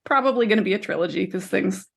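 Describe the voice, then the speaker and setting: A woman co-hosting a podcast, discussing books casually in a measured, questioning way. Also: mid-range voice, expressive, gravelly. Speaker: podcast co-host.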